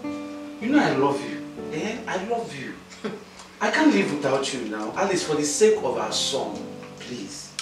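Man as frantic husband, pleading to yelling, wide pitch swings, echoey far-off room with music. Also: sing-song, measured pace. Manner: begging, then screaming. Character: desperate pleading husband turned raging shouter. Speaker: actor. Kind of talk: melodrama acting.

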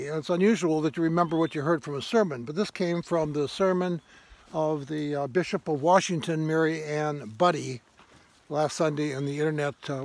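Senior male, somewhat high voice, preacherly but informal, pondering aloud on a walk, hesitant phrasing with emphatic repeats. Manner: thoughtful, halting delivery with repeated emphatic phrases. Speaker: vlogger, retired parish priest. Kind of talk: reflective informal sermon to camera while walking. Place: outdoors on a grassy woodland trail. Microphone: handheld phone at arm's length.

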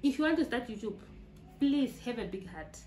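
A woman's voice: on the high side, animated, normal speed, darkish tone. A young Namibian woman talking casually to her viewers about her channel, chatty and repetitive, with many "like" fillers; English is her second language. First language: not English. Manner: chatty, repeats herself, frequent "like" fillers. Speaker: YouTuber. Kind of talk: casual talk to camera. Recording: echoey room.

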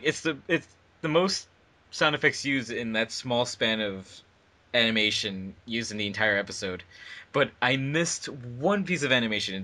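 A man's voice: medium pitch, pushed and bright. A man chatting as an editor on a casual commentary track, often repeating words as he starts.

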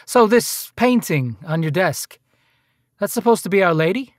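A self-righteous male detective in a radio drama. His voice sits on the high side and is recorded dry. He asks clipped, insinuating questions and keeps needling. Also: animated, a little slow, no echo. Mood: needling, accusing. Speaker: actor in a radio play.

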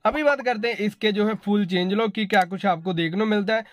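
A man's voice: high-pitched, fast talker, no room sound. A man casually narrating a phone update review, repeatedly addressing viewers in a repetitive, familiar way. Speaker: tech reviewer, video host.

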